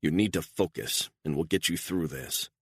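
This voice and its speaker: A man voicing a calm, menacing gunman who gives clipped, flat threats. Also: extremely low pitch, moderate pace, slightly rough, no room sound, studio quality, heavily acted. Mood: menacing, cold.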